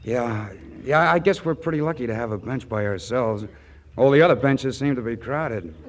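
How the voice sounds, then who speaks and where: A man's voice: mid-range voice, normal speed, lightly acted. An actor playing a naive, bashful small-town young man with a hesitant stammer in a 1940s radio comedy. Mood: folksy, amused. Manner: stammering, hesitant, with goofy earnestness. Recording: noisy 1940s radio broadcast recording.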